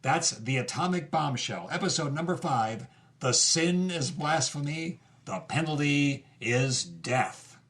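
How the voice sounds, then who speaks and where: A man's voice: on the high side, lively intonation, measured pace, fairly bright tone. A man playing a composed documentary host, interviewing and reading scripted narration.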